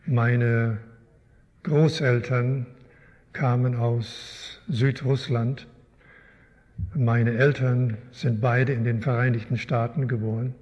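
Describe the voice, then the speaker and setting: An older man from Canada, a guest Bible teacher, answering interview questions in a slow, thoughtful, wry way. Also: mid-range voice, fairly even intonation, a little mellow. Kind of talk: live interview in a church. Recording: long hall reverb.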